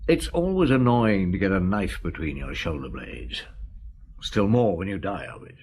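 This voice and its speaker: An actor playing a calm, probing police chief inspector in a radio drama, dry and measured in his questioning. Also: sits low, breathy edge, exaggerated voice.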